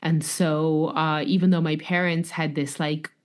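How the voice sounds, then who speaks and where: Russian-New York-accented woman, low voice. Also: level tone, unhurried, clear, resonant tone. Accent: mix of Russian, New York, French and London. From Russia, raised in New York. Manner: reflective, with fillers and light laughs. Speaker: author guest. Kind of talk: podcast interview.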